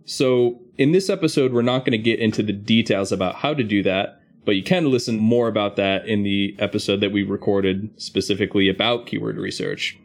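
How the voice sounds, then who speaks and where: A man's voice: fairly low pitch, fairly quick, few pauses. A man hosting a podcast, explaining things in a structured, conversational way. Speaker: podcast host.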